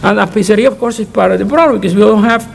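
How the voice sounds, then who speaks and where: Older male panelist, raspy-gravelly and emphatic, with long hall reverb. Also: high voice, racing. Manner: emphatic, frank, repeats words when restarting. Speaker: conference panelist, economist. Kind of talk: answering audience questions at an academic panel. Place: conference hall.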